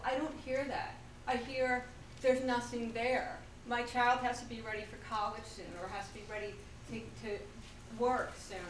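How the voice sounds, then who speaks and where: A woman in her thirties giving an earnest public comment at a town meeting, with some halting fillers. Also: fairly high pitch. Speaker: school board candidate. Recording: echoey room from far off, noisy.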